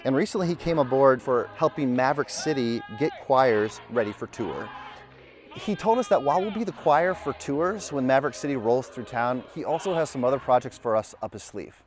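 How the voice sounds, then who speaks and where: Man, 30s, vlog host, upbeat earnest narration, middle pitch, lapel mic, music underneath. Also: animated, brisk. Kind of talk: scripted family vlog narration. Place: outdoors in a park. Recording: music bed behind voice.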